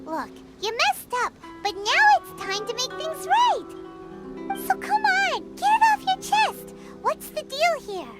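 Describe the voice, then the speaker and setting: A woman voicing a tiny floating female companion in a game, blunt, bossy and excitable, who speaks of herself in the third person. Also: extremely high pitch, slow pace, full character voice. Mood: coaxing. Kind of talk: video game cutscene dialogue. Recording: game cutscene with loud music behind.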